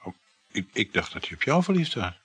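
Insecure, low-voiced jealous lover. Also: male voice, big pitch swings, brisk, fairly bright tone, husky, no echo, full character voice. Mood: puzzled, wry. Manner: hesitant, questioning, muttering asides. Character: insecure, jealous married lover probing his mistress. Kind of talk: naturalistic radio play acting.

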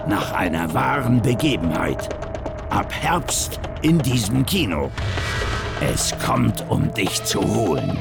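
An actor playing an ominous, gravelly cinema trailer announcer in a radio drama. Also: male voice, fairly low pitch, very animated, takes it slowly, over loud music, full character voice. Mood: menacing. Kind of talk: movie trailer voice-over.

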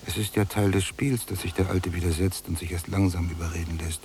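A sceptical younger prisoner in a radio play. His low voice has a strong creak, and he phrases things drily and precisely. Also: fairly even intonation, fairly quick, darkish tone, a little hiss or hum. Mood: exasperated. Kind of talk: literary radio drama acting.

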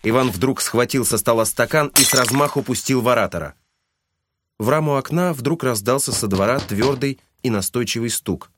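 A man's voice: mid-range voice, moderate pace, background noise. A man giving scripted literary narration as the narrator of an audio drama.

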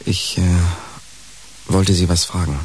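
Radio play part: hesitant, awkward man, drawn-out phrasing, very unhurried, slightly hissy sound. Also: low voice, fairly even intonation, rough recording. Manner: halting, drawn-out. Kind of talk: naturalistic German radio play acting.

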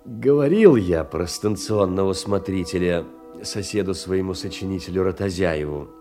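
An actor playing a tender, fussing, humble middle-aged clerk in a Russian literary stage drama, speaking in sentimental endearments. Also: male voice, low-pitched, normal speed, warm tone, soft background music, close to an everyday voice. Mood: gentle, shy.

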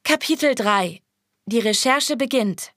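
A woman narrating an interactive radio play, giving clear, instructive directions. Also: on the high side, lively intonation, unhurried, fairly bright tone, a little hiss or hum.

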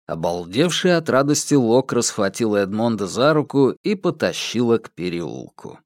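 A man's voice: lowish voice, big pitch swings, moderate pace, no room sound, studio quality. A man reading fiction as a scripted audiobook narration.

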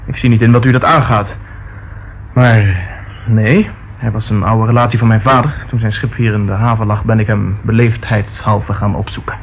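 A man acting a haughty, evasive gentleman in a Dutch radio play, answering in a curt, dismissive way. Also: lowish voice, fairly even intonation, moderate pace, creaky. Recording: dull, faintly noisy old radio recording.

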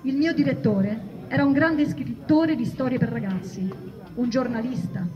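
A woman giving a theatrical reading of a first-person memoir, acting out the shouts and quoted speech. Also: fairly high pitch, normal speed, a crowd in the background. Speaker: reader at a theatre event. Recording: distant mic, dull sound, audience noise.

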